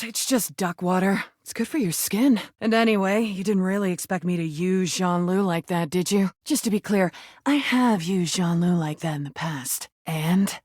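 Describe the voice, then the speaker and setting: A woman's voice: medium pitch, a little mellow, no room sound, natural voice. Brazen, sarcastic rubber duck played by a woman, dry recording. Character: crude, flirty, brash rubber duck come to life. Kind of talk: video game character voice lines.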